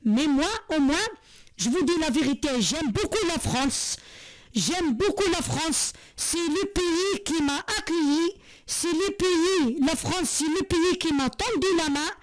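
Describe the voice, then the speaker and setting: Earnest high-voiced woman from Algeria. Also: unhurried. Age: 40s. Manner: earnest, repetitive, many hesitations and restarts. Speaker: vlogger. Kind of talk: heartfelt monologue to camera.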